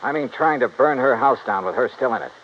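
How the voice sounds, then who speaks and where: Actor as blunt radio detective, noisy old recording. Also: male voice, mid-range voice, expressive, fast, lo-fi sound, lightly acted. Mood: accusing. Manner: clipped, blunt, matter-of-fact. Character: hard-boiled male insurance investigator, blunt and dogged. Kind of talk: 1950s radio detective drama acting.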